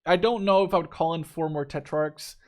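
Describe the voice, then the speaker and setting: A man's voice: a little high, steady tone, fairly quick, a little mellow. A man in his twenties giving casual, unscripted game commentary, informal and thinking aloud as he goes. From Canada-based, Dutch. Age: 20s. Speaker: gaming YouTuber reviewing a strategy game.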